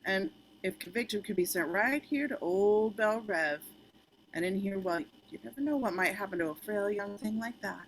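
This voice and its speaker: A woman's voice: mid-range voice, moderate pace, lightly acted. Woman playing a cold, calculating female official, noisy recording. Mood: veiled threat. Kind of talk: amateur script table read.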